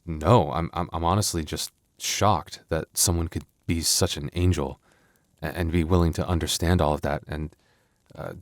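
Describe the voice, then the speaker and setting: A man acts out a young werewolf boyfriend with an extremely deep voice in an intimate audio roleplay. He is gentle and earnest, hesitating and stumbling over his words as he opens up. The sound is dry, with hardly any room on it. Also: no echo. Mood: tender, devoted. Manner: soft, earnest, hesitant, stammering.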